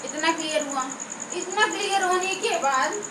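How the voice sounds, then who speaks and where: A woman's voice: very high voice, moderate pace. A woman explaining grammar step by step as a teacher, often asking questions and answering them herself. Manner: instructive, asks and answers own questions, checks understanding. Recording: echoey room, distant mic, background noise.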